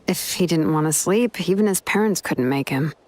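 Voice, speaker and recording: A woman playing a composed, serious young female leader in a game, speaking in a measured, gentle, explanatory way. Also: on the low side, lively intonation, slight background noise, close to an everyday voice. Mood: gentle, explaining. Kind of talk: English game dub acting.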